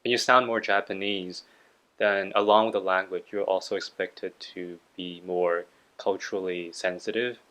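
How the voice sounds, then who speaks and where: A man hosting an interview, asking questions and sharing views in a measured, reflective way. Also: sits low, fairly even intonation, bright, forceful tone. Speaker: interviewer, channel host. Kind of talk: casual interview conversation.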